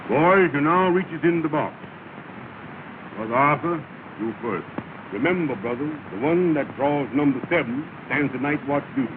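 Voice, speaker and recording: A man's voice: a little high, normal speed, lightly acted. An actor playing a pompous male lodge leader who presides with grandiose, garbled big words, in 1930s film comedy. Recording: hissy, tinny 1930 film soundtrack.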